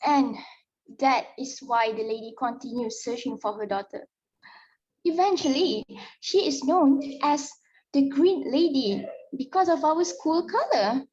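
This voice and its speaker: A woman performs a storyteller of sad ghost tales in a high voice. The dramatic reading is emotive and a little halting. The audio sounds muffled and low in fidelity. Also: expressive, a little mellow. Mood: solemn.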